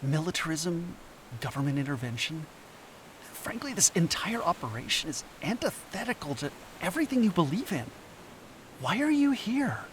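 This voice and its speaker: An actor playing a reluctant, troubled anthropologist in an audio drama, measured and hesitant, with earnest, evasive delivery. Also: male voice, on the high side, sing-song, fairly bright tone, slight background noise, rough recording. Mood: challenging.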